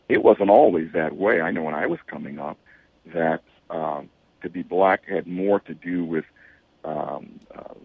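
Deep gravelly man on a phone line. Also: no room sound. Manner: measured, earnest, repeats words when starting. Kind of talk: discussion on a radio talk show.

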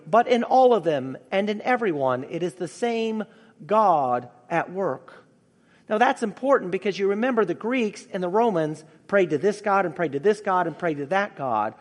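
A man preaching a sermon as a pastor, in a measured, explanatory way, with a slightly raspy voice. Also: sits high, animated. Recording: reverberant hall.

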